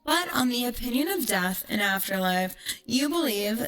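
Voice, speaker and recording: A woman's voice: on the high side, lively intonation, moderate pace, fairly bright tone, rough recording. A woman streamer chatting casually and ranting in a brash, profane, sarcastic way. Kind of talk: casual livestream chat with ranting storytelling.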